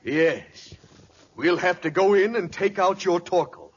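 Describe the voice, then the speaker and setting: An actor playing a mock-grave family doctor in a 1940s radio comedy, with a deliberate bedside manner. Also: male voice, high voice. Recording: very noisy, dull old radio recording.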